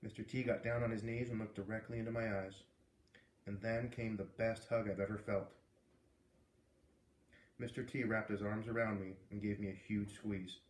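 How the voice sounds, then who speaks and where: A man narrating a children's book aloud in an expressive storytelling style. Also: on the low side, normal speed, long pauses, echoey room, low-quality recording.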